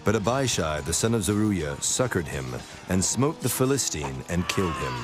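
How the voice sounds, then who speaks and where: A man narrating a dramatized scripture reading in a solemn, measured manner. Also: low voice, very animated. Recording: prominent music behind the voice.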